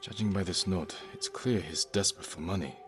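An actor playing a calm, earnest young samurai in a video game, speaking in a measured, serious way. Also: male voice, sits low, over music, lightly acted. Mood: grave, deducing. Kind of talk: dramatic video game acting.

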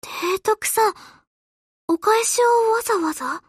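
A courteous anime game girl with a very high voice. She speaks gently and slowly, with no room echo. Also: clean studio sound. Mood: grateful. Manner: polite, gentle. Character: demure, courteous young warship girl. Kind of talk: anime game character voice acting.

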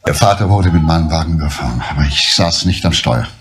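An actor playing a grave, controlled middle-aged detective under suspicion, speaking in a measured, deliberate way in a crime drama. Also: male voice, very deep voice, animated, music in the background. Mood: grave, insistent. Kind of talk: restrained crime drama dialogue.

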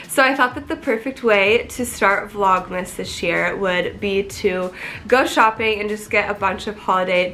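Bubbly twenties vlogger, a bit high, stuffy from a cold, talking to camera in her apartment. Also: bright, forceful tone. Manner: bubbly, chatty, swears casually. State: congested from a cold. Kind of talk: casual vlog chat to camera. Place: small apartment bedroom, indoors. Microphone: camera in front, close framing.

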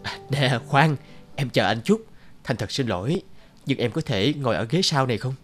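An actor playing a courteous, devoted married office man in his thirties in a cartoon, polite and earnest in measured phrases. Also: medium pitch, expressive, faint music behind, close to an everyday voice. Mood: polite, firm. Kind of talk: cartoon dub acting.

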